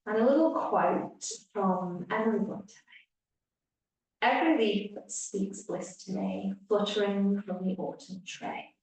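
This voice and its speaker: Slow woman guiding relaxation in echoey room. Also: mid-range voice. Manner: gentle, hushed phrase endings, long pauses. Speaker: yoga nidra teacher. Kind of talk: guided relaxation meditation. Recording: echoey room, distant mic, faint hiss.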